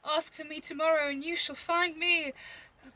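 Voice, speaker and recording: A woman's voice: high voice, steady tone, normal speed, bright, forceful tone, a little hiss or hum. A woman playing a mortally wounded, bitterly witty young brawler in a Shakespeare audio drama, theatrical and gasping. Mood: weak, wry.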